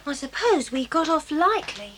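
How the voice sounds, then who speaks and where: A woman's voice: high-pitched, big pitch swings, normal speed, background noise. A woman playing a bossy, outspoken boarding school girl with a British accent and an emphatic delivery in an audio drama. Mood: determined.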